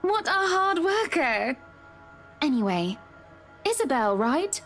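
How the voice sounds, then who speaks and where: A woman's voice: high voice, big pitch swings, slow, a bit bright, pitched above the performer's own voice, full character voice. A woman voicing a gushing, entitled wealthy wife with an affected, sweetly patronising manner in a visual novel. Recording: background music under the voice.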